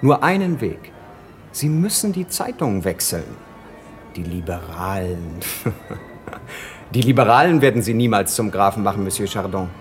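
An actor in a German radio play playing a suave young French duke, condescending and insinuating. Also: male voice, on the low side, big pitch swings, a little slow, pauses often, faint music behind. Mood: persuasive, condescending.

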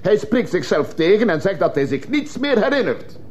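An actor playing a scornful, rhetorical defence lawyer pleading dismissively in a radio courtroom drama. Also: male voice, sits high, sing-song, normal speed, darkish tone. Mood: persuasive. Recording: faintly noisy old radio recording.

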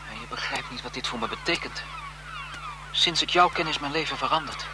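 An actor playing a lovesick, desperate photographer in a melodramatic, impassioned radio thriller style. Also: male voice, medium pitch, brisk, lightly acted. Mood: pleading. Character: lovesick, desperate male photographer turning bitter and vengeful. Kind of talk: 1970s Dutch radio thriller acting. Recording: noisy 1970s radio drama broadcast.

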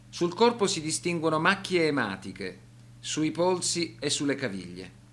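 A man's voice: fairly high pitch, a bit bright. A man reading scripted documentary narration for a radio drama in a formal, factual, carefully phrased way.

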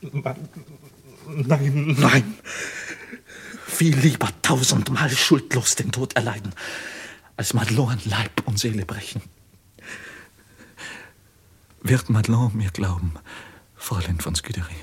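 An actor playing a despairing, bitter young craftsman accused of murder, in a theatrical 1950s radio play style, swinging between hushed and fervent. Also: mid-range voice, measured pace, long pauses, breathy edge. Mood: anguished.

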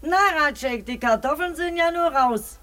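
An actress playing a nosy, falsely friendly old village neighbour in a German radio drama, needling and insinuating. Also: female voice, sits high, moderate pace, sharp tone. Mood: sly, needling.

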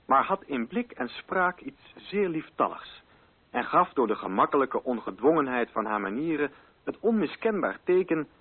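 A man reading literary narration for a radio play in a measured, formal and carefully articulated way. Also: medium pitch, slightly rough, phone-quality sound.

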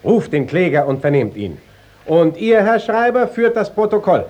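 An actor playing an authoritative court inspector, formal and impatient, in a 1950s German radio play. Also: male voice, sits high, moderate pace, darkish tone. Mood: stern. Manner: crisp, commanding, formal.

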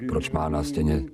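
An actor voicing a streetwise stray dog musing to himself in slangy, wry, awestruck radio drama monologue, with a gravelly voice. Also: male voice, lowish voice. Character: streetwise stray male dog narrating his thoughts, wry, awed.

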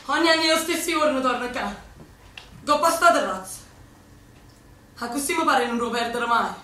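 A woman's voice: sits high, moderate pace, bright, forceful tone, a little hiss or hum. An actress playing a young Neapolitan woman partisan in a stage monologue, with a Neapolitan accent and an impassioned, declaiming delivery. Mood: hushed, grieving. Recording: very echoey stage from far off.

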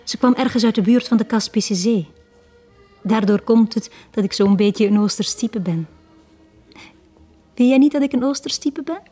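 A mellow-voiced Flemish woman quietly confides her sad past in a 1980s radio drama. She is intimate and wistful, often dropping near a whisper. Faint music plays behind her. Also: a little high, moderate pace, pauses often, slightly rough. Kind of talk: 1980s Dutch-language radio drama acting.

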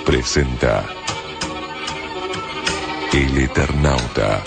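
A male announcer speaks in an extremely deep, gravelly voice. He presents titles slowly and dramatically over loud music. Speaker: station announcer.

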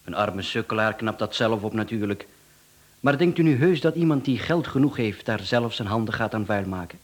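Courteous hired killer, Flemish accent, smug, mockingly polite, unhurried and self-assured, radio play. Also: male voice, lowish voice, animated. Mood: smug, matter-of-fact. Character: smug, courteous, chatty male professional hitman. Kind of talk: radio drama acting.

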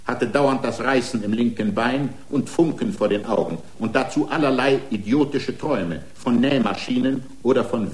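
A radio drama defendant played by a man, mid-pitched and full of grievance. He pleads his own case in formal, long-winded rhetoric, excitable and indignant as he builds his argument. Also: close to an everyday voice. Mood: self-pitying. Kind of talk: radio drama acting, courtroom speech.

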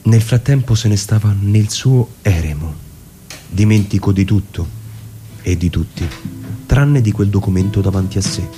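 A man performing a dramatic scripted narration in a theatrical, musing manner, with deliberate pauses. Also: fairly low pitch, dark tone. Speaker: performer reading a literary narration. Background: plucked guitar music.

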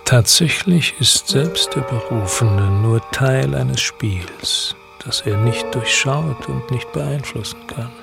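An actor playing a cryptic, oracular narrator with a creaky voice, speaking deliberately in a radio drama monologue. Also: male voice, mid-range voice. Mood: cryptic, measured. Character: cryptic, oracular male narrator expounding a game. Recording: loud music under the voice.

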